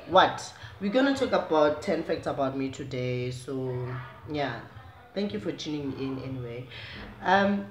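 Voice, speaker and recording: Slow-talking woman with a low voice. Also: a little hiss or hum. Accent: South African. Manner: chatty, animated, gestures while talking. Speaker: vlogger. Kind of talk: casual direct-to-camera chat. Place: small indoor room at home. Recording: echoey room.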